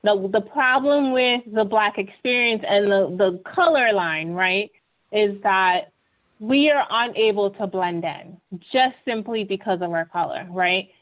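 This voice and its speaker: A woman's voice: mid-range voice, unhurried. A woman from Ghana, giving an earnest, conversational webinar presentation and often checking in with "right?". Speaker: webinar panelist. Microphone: video call. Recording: tinny video call audio.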